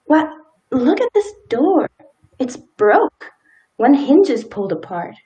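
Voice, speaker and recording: A woman playing a polite, sympathetic small-town wife, gentle and hesitant, in a live radio play. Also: sits high, big pitch swings, slow pace, exaggerated voice, rough recording. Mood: pensive.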